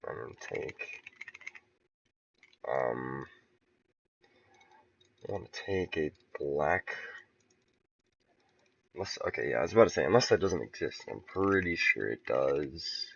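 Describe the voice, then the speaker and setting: A man casually talking through his level building on a stream, relaxed and thinking aloud with soft chuckles. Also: a bass voice, slow, vocal fry, long pauses, dull audio, noisy background. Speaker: game level creator streaming. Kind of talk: casual commentary while building.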